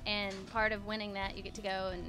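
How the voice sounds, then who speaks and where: A woman's voice: mid-range voice, level tone, quick pace. A woman, a singer telling stories in a casual interview at a festival. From Texas. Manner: chatty, storytelling, laughs often. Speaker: country singer. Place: festival backstage area. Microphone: handheld interviewer mic. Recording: music playing behind. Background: music.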